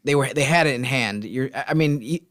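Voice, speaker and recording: A man's voice: mid-range voice, a little fast, a bit bright, dry recording, studio quality. A man co-hosting a podcast, talking sports in a casual, conversational way. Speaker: podcast co-host, sports reporter.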